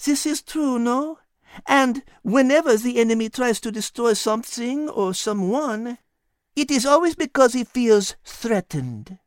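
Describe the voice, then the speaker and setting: A woman plays a gracious little French lady animal in a children's audio drama. Her voice is high and dainty, her French accent refined and her manner diplomatic, in a dry, close recording. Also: lively intonation, a little slow, no room sound. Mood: earnest.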